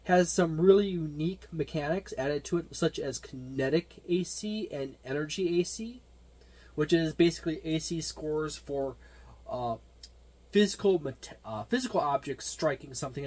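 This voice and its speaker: A man with a voice a bit higher than most gives a casual, unscripted review. His delivery is halting and digressive, stopping and starting as he works through the details of a product. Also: darkish tone, breathy edge, rough recording. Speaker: tabletop gaming reviewer.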